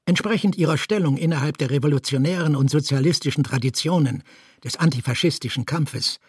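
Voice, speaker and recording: A man's voice: a little high, a little fast, slightly rough, no echo. A man giving a scripted reading of formal documentary text. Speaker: reader.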